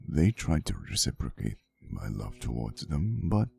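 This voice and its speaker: Man voicing a very deep, creaky, ancient vampire boyfriend. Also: very animated, soft background music, exaggerated voice. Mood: wistful, tender. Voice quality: very deep, gravelly. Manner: soft, unhurried, theatrical, old-fashioned wording. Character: centuries-old devoted male vampire boyfriend, gentle, faintly possessive. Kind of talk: intimate ASMR roleplay storytelling.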